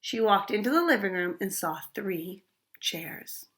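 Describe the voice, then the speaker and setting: A woman reading a picture book aloud to children, expressive and pausing to ask them questions. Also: medium pitch.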